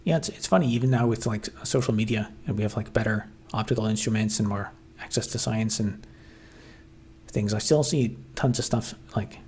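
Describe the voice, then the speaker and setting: A man's voice: on the low side, moderate pace, a little mellow, a little hiss or hum. A man hosting a casual livestream, reading chat and musing aloud with lots of fillers and restarts. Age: adult, grew up watching 1990s TV.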